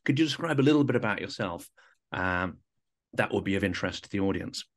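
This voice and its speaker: A man hosting a podcast, who reads a prepared introduction in a measured, formal way and then puts questions to his guest. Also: low-pitched, animated. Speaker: podcast host.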